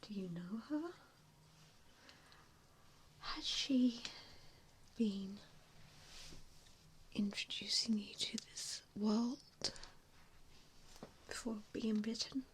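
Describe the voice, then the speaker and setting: A woman plays a vampire assessor in an ASMR roleplay. She whispers breathily, mostly without voice, at a slow pace with frequent hushed pauses. A faint noise sits behind her close-up whisper. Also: mid-range voice, poor audio. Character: vampire assessor, a wistful former hunter, gently probing.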